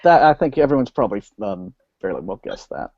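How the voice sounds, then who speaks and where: A man hosting a casual book discussion, speaking haltingly and often rephrasing mid-thought over an online call. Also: fairly low pitch, sing-song, dry recording. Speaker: host of a book discussion liveshow. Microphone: video call. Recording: muffled, lo-fi online call audio.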